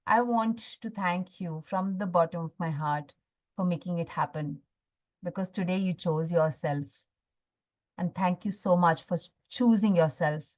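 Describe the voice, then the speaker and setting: A woman's voice: on the low side, unhurried, a little mellow, lo-fi sound. A woman with an Indian accent hosting a live online motivational masterclass, repeating phrases for emphasis and checking in with her listeners. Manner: repeats phrases for emphasis, seeks agreement with "right?". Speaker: workshop host and healing coach.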